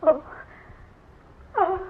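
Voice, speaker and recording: An actress playing a startled, frightened office secretary in an old-time horror radio drama. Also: female voice, drawn-out words. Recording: tinny phone-like old radio recording.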